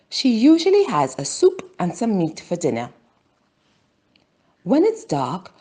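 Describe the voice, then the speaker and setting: A woman reads a children's story for language learners in deliberate short phrases. Her pace is very slow and her intonation swings widely, with a breathy, husky edge to the voice. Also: on the low side, mellow. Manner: deliberate, simple phrasing with pauses.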